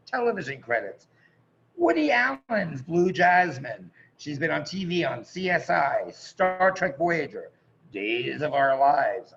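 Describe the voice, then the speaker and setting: A man hosting a live online event, introducing performers in a halting, effusive and casual way over a video call. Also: medium pitch, gravelly, fairly bright tone. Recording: dull noisy video call audio.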